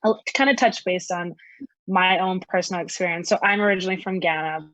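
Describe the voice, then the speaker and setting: A woman's voice: fairly low pitch, fairly quick, a bit bright, dry recording, poor audio. A woman from Canada, polite and earnest, sharing her experience in a discussion over a video call. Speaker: discussion participant.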